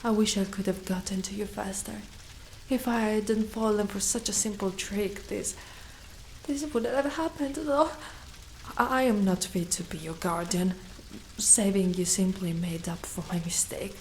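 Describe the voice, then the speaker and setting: A woman voicing a proud, fiercely protective female dragon knight in an ASMR roleplay, speaking deliberately and often breathy or whispered. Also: mid-range voice, steady tone, a little mellow, a little hiss or hum, close to an everyday voice, low-quality recording. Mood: weary, remorseful. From Italy.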